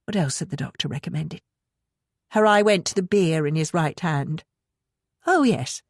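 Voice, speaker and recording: A woman with a husky voice reading a novel aloud in a measured, expressive storytelling manner. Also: sits low, dry recording, studio quality. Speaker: audiobook narrator. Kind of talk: scripted fiction narration.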